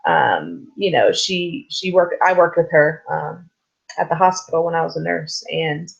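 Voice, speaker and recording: A woman teaching a recorded church lesson off the cuff, hoarse from a sore throat, with many fillers and restarts. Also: low-pitched, measured pace. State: sore throat, losing her voice. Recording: slightly dull, lo-fi recording.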